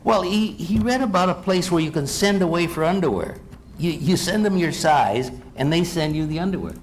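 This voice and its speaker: A man playing a curious schoolboy son in a live old-time radio comedy sketch, telling silly stories in a halting, eager way. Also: a little high, expressive, natural voice. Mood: amused, eager. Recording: noisy live ballroom event recording.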